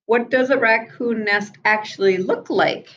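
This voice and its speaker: A woman voicing curious, eager young boys in a children's storybook, with an excited, questioning manner. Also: a little high, a little slow, dull audio, voice pushed up. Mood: curious, puzzled.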